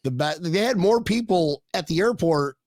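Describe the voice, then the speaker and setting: A man's voice: sits high, lively intonation, normal speed, a little mellow, no echo, clean studio sound. A man hosting a casual podcast, bantering in a hyped, playful way. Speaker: podcast host.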